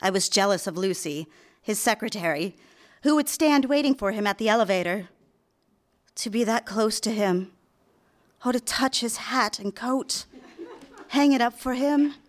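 A woman's voice: a little high, expressive, moderate pace, pauses often, lightly acted. A woman playing a nervous, smitten young office typist in a live radio drama, earnest and easily flustered. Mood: dreamy longing. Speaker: actor. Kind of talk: live radio drama acting with confessional narration.

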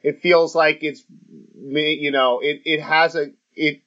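A man hosting a casual sports podcast, talking in a halting way with many fillers and restarts. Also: a little high, fairly even intonation, fairly bright tone. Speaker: podcast host. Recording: muffled lo-fi audio.

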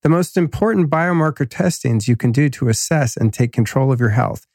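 A man's voice: mid-range voice, expressive, brisk, a little mellow, dry recording, clean studio sound. Laid-back slangy man, a bit nasal. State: slightly nasal from a cold. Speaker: podcast host. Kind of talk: casual podcast intro and ad reads.

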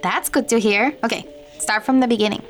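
An actress playing a calm, supportive woman in an audio drama, gentle and measured, like an attentive mentor. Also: a little high, soft background music, close to an everyday voice. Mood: welcoming, attentive. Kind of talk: naturalistic audio drama acting.

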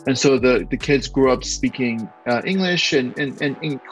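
A man's voice: medium pitch, moderate pace, faint music behind, low-quality recording. A man in his forties, raised in Southern California, who spoke Persian first, talking as a historian guest in a loose interview and thinking aloud with frequent restarts. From born in Iran, raised in Southern California. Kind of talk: casual interview talk.

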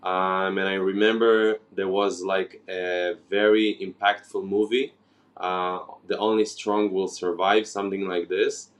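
A man with a lowish voice, speaking slowly and haltingly, with many pauses and restarts. He is a guest in a relaxed interview and talks about his own life. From Ukraine, raised in Israel. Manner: hesitant, many fillers and restarts. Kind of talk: casual interview conversation.

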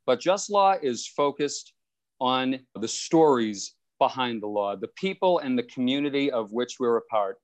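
A man's voice: medium pitch, animated, unhurried. Upbeat young man hosting a podcast. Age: young adult. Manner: upbeat, playful. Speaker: podcast host. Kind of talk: scripted podcast intro. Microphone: video call.

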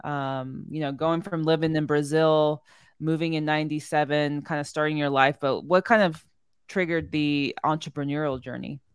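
A woman's voice: sits low, steady tone, moderate pace, smooth, no echo, studio quality. A woman hosts a podcast, introducing a guest and asking questions in a friendly, upbeat, slightly rambling way. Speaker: podcast host. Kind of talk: casual podcast hosting.

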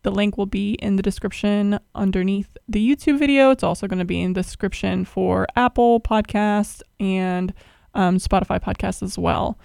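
A woman's voice: medium pitch, moderate pace, creaky, darkish tone, clear, resonant tone, dry recording, studio quality. A young woman hosting a podcast, asking questions in a friendly, rambling way with lots of fillers. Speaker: podcast host. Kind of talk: casual interview.

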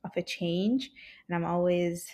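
Low-voiced woman from Italy, hesitant. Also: steady tone, a little slow, mellow. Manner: thoughtful, hesitant with frequent fillers. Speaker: podcast guest. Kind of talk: casual interview conversation.

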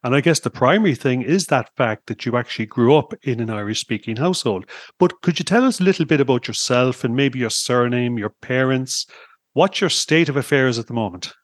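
A man's voice: mid-range voice, animated, a little fast, dry recording, clean studio sound. A man hosting a podcast in Ireland, talking in an upbeat, chatty way with frequent fillers. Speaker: podcast host. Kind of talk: podcast interview.

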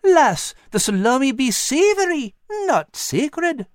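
Narrator doing a greedy high-voiced male cat. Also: big pitch swings, slow pace, dry recording, clean studio sound, full character voice. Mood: protesting. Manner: folksy dialect, says lass and me. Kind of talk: storybook character voice.

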